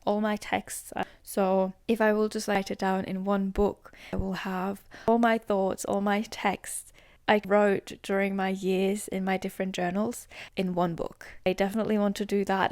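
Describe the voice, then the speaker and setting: A woman's voice: mid-range voice, a little mellow, no echo, clean studio sound. Young woman musing in a rambling way. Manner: rambling, heavy use of "like", drops to whispers at times. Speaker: podcast host. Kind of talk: reflective solo podcast monologue.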